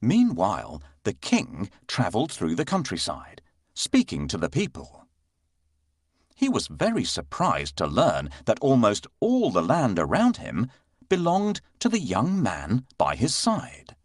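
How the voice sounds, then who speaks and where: A man narrating a children's bedtime story in an expressive storytelling manner. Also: mid-range voice, a little slow, slightly rough, no room sound, studio quality.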